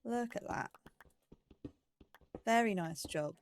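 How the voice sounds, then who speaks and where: A woman's voice: a little high, takes it slowly, a little hiss or hum. A woman narrating her gameplay in a casual, chatty way, thinking aloud as she goes. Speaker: let's play gamer.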